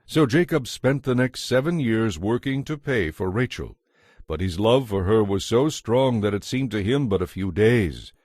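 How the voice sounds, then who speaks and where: A man narrating scripture as a dramatized story, in an expressive, measured way. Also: fairly low pitch, dry recording, clean studio sound.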